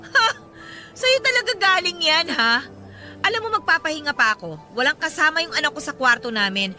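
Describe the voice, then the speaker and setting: A woman's voice: high-pitched, expressive, a little fast, sharp tone, faint music behind, heavily acted. An actress playing an indignant, sarcastic mother who feels bitterly wronged, in an emotional radio drama style. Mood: confrontational, suspicious. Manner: biting, sarcastic retorts.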